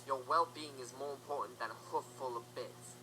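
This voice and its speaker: A woman's voice: very deep voice, fairly even intonation, brisk, pushed and bright, slight background noise, rough recording. A woman voicing a blunt but caring pony tavern keeper in an audio drama, firm and no-nonsense.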